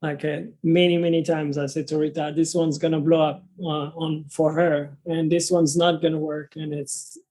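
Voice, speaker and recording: French-accented man, high voice, halting. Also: level tone, dark tone. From France. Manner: halting, restarts sentences often. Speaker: guest, travel content creator. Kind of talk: casual interview conversation.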